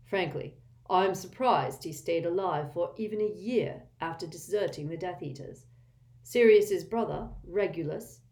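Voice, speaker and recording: Brief: a woman doing a gaunt, grim man, low and measured. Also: a little mellow. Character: weary grim middle-aged man.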